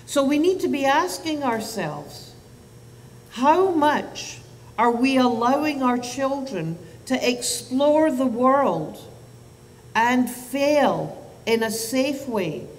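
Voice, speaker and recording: A Scottish woman in her sixties, a physician giving a public talk to parents at a lectern microphone, animated and asking the audience questions. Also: high-pitched, slow, slight background noise. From Scotland. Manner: animated, gestures with both hands, asks the audience questions. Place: lecture hall at a lectern. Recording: roomy hall sound from a distance.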